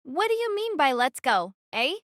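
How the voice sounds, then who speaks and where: A woman's voice: high voice, very animated, normal speed, a bit bright. A woman playing an earnest, bewildered young student fighter in an audio drama, hesitant and questioning.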